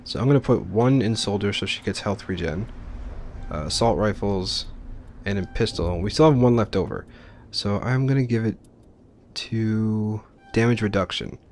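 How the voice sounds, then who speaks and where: A man's voice: fairly low pitch, normal speed, darkish tone, a little hiss or hum. A man giving casual, explanatory let's play commentary on a video game. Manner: explanatory, informal.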